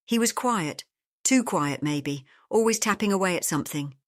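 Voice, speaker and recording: A woman's voice: medium pitch, expressive, normal speed, no echo, clean studio sound, natural voice. A woman playing a watchful landlady in an audio drama, matter-of-fact and clipped, with a faintly knowing air. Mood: guarded, knowing. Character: brisk, watchful English landlady of an old block.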